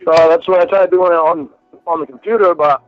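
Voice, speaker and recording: A man with a stutter talking casually in a halting, defensive way. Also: a little high, steady tone, no room sound. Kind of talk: casual phone conversation. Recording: tinny phone-like line, music behind.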